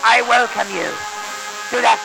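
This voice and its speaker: Smug cartoon invader's herald: extremely high, croaky, pompously courteous, over music. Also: male voice, normal speed, exaggerated voice, rough recording. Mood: smug, menacing. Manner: pompous, mock-courteous announcer delivery. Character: smug alien henchman announcer, gleefully bureaucratic invader. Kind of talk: fan dub villain announcement. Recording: loud music behind.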